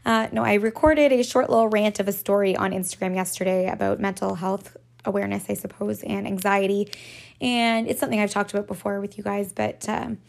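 A woman hosting a casual solo podcast, her voice raspy and hoarse from just waking up, rambling along with frequent laughs and casual swearing. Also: mid-range voice, steady tone, fairly quick. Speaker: podcast host.

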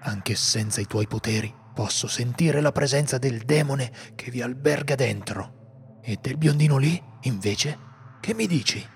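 A man playing a seasoned, solitary monster hunter in an amateur radio drama, speaking gravely and steadily. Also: medium pitch, a little slow, slight background noise. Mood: wary. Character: seasoned male monster hunter, brooding loner.

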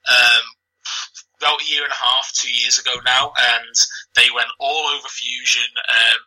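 Man, Liverpool accent, husky, monotone, hesitant, lots of ums. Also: medium pitch, normal speed, low-quality recording. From Liverpool, England. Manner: hesitant, many ums and restarts. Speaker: wrestling commentator, guest. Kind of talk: casual podcast interview.

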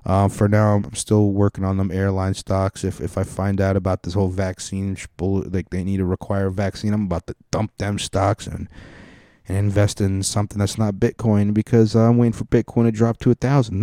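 Rambling, joking podcast host with a low voice. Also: male voice, level tone, brisk, mellow, dry recording, studio quality. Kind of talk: casual comic podcast monologue.

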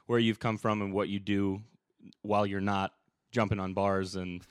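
A man's voice: fairly low pitch, flat delivery, normal speed, smooth, dry recording, clean studio sound. A young man hosting a podcast interview, chatting casually and rambling with lots of fillers and restarts. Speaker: podcast host.

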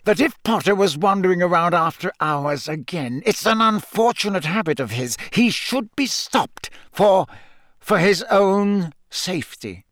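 A man's voice: high-pitched, lively intonation, a little slow, fairly bright tone, no echo, clean studio sound, pitched above the performer's own voice. A man voicing a cold, suspicious male professor for an audiobook, menacing and curt, with clenched, hissing words. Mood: forced calm. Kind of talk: audiobook character voice.

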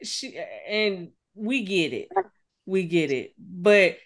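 A woman's voice: on the low side, animated, slow. A woman co-hosting a casual gossip podcast, blunt and emphatic, with plenty of swearing. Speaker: podcast co-host.

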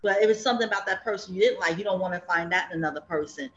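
A woman's voice: fairly low pitch, very fast, lo-fi sound, a little hiss or hum. Chatty woman in her fifties, roomy sound. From Savannah, Georgia, US. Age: 50s. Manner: chatty, rambling, laughs often. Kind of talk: casual group chat.

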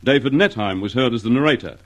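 A man announcing in formal, measured vintage radio style, reading out programme credits. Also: mid-range voice, animated. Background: music.

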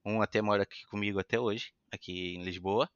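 A young Brazilian man with a light European Portuguese influence in his accent, a podcast guest telling his story in a lively, chatty way. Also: fairly low pitch, fairly quick, smooth, dry recording, muffled recording. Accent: Brazilian Portuguese with some European Portuguese influence. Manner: animated, chatty, acts out quoted voices. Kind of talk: casual storytelling interview.

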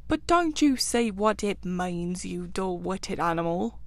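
A woman voicing a kindly but excitable, easily exasperated male rat in a storybook, brisk, eager and bossy. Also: on the high side, creaky, no room sound. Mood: impatient.

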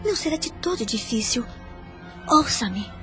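A woman's voice: a little high, lively intonation, moderate pace, a bit bright. An actress playing a jealous, scheming young noblewoman in a radio soap, with theatrical, conspiratorial, formal delivery. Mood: coaxing. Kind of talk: radio soap opera acting. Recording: music under the voice.

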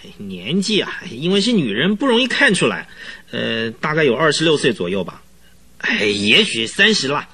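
Radio drama casting: easily spooked, chattering horse trainer, bright husky voice, dramatic storyteller. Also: male voice, on the high side, sing-song, moderate pace, full character voice. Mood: hesitant. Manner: rambling, dramatic storytelling full of interjections. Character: excitable, rambling, easily frightened male horse trainer witness.

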